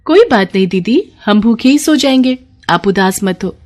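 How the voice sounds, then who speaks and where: A woman's voice: mid-range voice, lively intonation, normal speed, darkish tone, lightly acted. A woman voicing a caring, hopeful young girl, the younger sister in a storybook tale, sweet and earnest.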